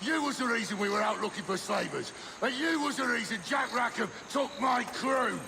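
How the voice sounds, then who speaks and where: Gravelly, crazed pirate captain. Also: male voice, very high voice, lively intonation, moderate pace, noisy background, full character voice. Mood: crazed, bitter. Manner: menacing, taunting, foul-mouthed. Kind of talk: video game cutscene acting. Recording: music behind the voice.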